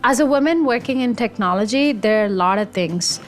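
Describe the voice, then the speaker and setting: A woman's voice: a little high, lively intonation, a little fast, soft background music. A woman in her thirties from India, an automation engineer, talking about her career in an enthusiastic, conversational way. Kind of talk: career interview monologue.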